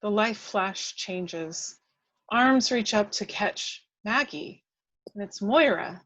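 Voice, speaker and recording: A woman's voice: medium pitch, takes it slowly, a bit bright, no echo. Woman narrating screenplay action. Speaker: reader of stage directions. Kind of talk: scripted narration at an amateur table read.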